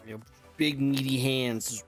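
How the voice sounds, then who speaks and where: A man's voice: medium pitch, fairly even intonation, measured pace, soft background music. A man hosting a casual online interview show, upbeat and playful, often repeating his own phrases. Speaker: talk show host.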